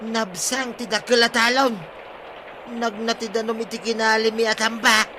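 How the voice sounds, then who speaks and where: A man's voice: very high-pitched, little pitch movement, normal speed. A man with an Ilocano accent playing a distressed villager husband in a radio comedy drama. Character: distressed villager husband whose home and field collapsed. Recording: noisy radio drama with loud ambient effects.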